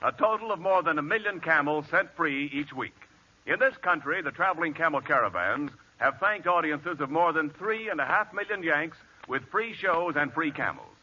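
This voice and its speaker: Old time radio announcer guy, polished and formal, really bright voice, muffled recording. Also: a little high, animated, normal speed. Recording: faintly noisy, band-limited 1940s radio recording.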